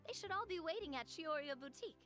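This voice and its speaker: A bubbly young lady in an animated game. The voice sits high and moves with lively, theatrical swings, effusive and playful, with soft music behind. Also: fast talker. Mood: cheerful. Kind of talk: animated video game voice acting.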